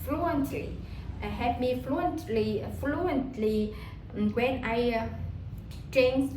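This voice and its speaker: Slow, halting Vietnamese-accented woman. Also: fairly high pitch, roomy sound, a little hiss or hum, rough recording. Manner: halting, repeats words and restarts while searching for English. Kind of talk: casual spoken reflection on learning English.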